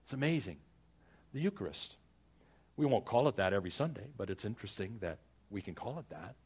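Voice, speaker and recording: Husky low-voiced male preacher. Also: sing-song, a little mellow. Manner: earnest, exhorting, with dramatic pauses and repeated phrases. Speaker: pastor. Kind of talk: sermon with personal stories and prayer.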